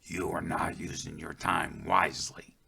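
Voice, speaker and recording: A man voicing a calm, stern male forest guide spirit in a storytelling piece, speaking slowly and solemnly in a hushed, whispery voice. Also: a bass voice, gravelly, breathy edge, full character voice. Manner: slow, solemn, measured.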